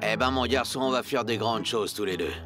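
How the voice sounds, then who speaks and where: A man's voice: medium pitch, fairly quick, loud music behind the voice, natural voice. A man playing a scheming, blackmailing fairground boss in a cartoon, with a wheedling, theatrical and menacing manner. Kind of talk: animated cartoon voice acting.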